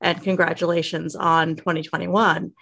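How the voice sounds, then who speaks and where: Lower-pitched, creaky-voiced woman interviewer. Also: little pitch movement, normal speed, fairly bright tone. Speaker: guest podcast host, interviewer. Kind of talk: conversational interview.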